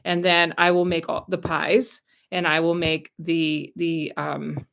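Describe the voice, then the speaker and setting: A woman's voice: low voice, flat delivery, slow pace, gravelly, a bit bright. A middle-aged American woman chatting casually on a livestream, rambling and talking straight to her viewers. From United States. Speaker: YouTube channel host.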